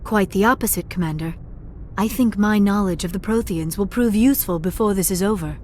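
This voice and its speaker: A woman playing a gentle, earnest young alien scientist in a video game, speaking formally and precisely. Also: mid-range voice, a little fast, slight background noise, natural voice. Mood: earnest, weary. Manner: formal, precise speech without contractions. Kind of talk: video game dialogue acting.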